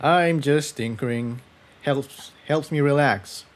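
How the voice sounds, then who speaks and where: A cautious unicorn stallion from a storybook , read by a man, with a dry, wry delivery. He talks in a low-key way at a medium pitch. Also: slow, natural voice. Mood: relaxed. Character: wry, cautious male unicorn pony tinkerer.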